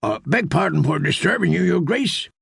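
A man's voice: high voice, expressive, moderate pace, gravelly, slightly rough, no echo, studio quality, voice pushed up, heavily acted. A man voicing a castle guardsman who speaks hesitantly and deferentially. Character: nervous male castle guardsman.

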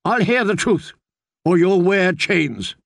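Audiobook figure: scornful commanding queen, man reading her, unhurried, cutting, close dry booth. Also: sits high, animated, vocal fry, fairly bright tone, no room sound, pitched above the performer's own voice, heavily acted. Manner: cutting, mocking, interrogating. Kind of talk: audiobook character voice.

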